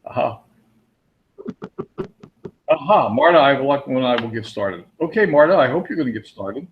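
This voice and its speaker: A man's voice: medium pitch, animated, normal speed, a little hiss or hum. A man with a Pennsylvania Dutch accent hosting a live webinar, chatting with viewers and calling on them by name. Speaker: webinar host. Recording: dull lo-fi audio.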